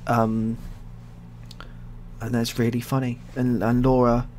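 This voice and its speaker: Male ASMR storyteller, mid-pitched, soft-spoken, deadpan and repetitive, improvising as he goes; noticeable background noise. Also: slow pace. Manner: rambling, repetitive, deadpan dark humour. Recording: clearly audible background noise.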